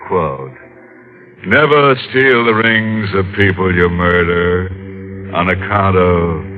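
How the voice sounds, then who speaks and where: A man's voice: low-pitched, slow pace, slight background noise. An actor playing a ghoulish, mocking male horror host on 1940s radio, speaking in a sly, chuckling, punning way. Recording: muffled old radio with faint music.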